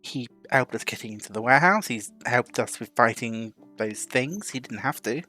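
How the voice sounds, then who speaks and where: A man's voice: medium pitch, animated, moderate pace, sharp tone, faint music behind. A man playing a tabletop game, talking hesitantly with frequent restarts in character. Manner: hesitant, stammering. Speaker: tabletop player. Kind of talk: in-character roleplay.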